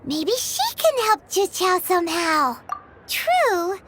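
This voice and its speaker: A tiny floating sprite girl chirps in a very high, squeaky voice with a sing-song lilt. She blurts out nosy questions over a game's loud music bed. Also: sing-song, slow, heavily acted. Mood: chirpy, curious. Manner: chirpy, blurts questions, talks of herself in third person. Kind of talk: game cutscene voice acting.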